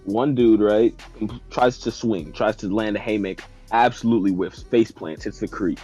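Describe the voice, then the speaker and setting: A man with a fairly low voice tells a comedic story among friends. His talk is slangy and animated, loose and casual. Faint music plays behind him. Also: normal speed, husky. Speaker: podcast guest telling a story. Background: background music.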